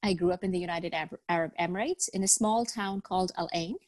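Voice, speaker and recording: A middle-aged woman from Bangladesh, raised in the UAE, with a fairly low voice. She answers interview questions thoughtfully, restarting often with many fillers and repeated words. Her voice sounds close and dry. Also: quick pace, no room sound. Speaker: guest, business professional. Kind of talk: podcast interview.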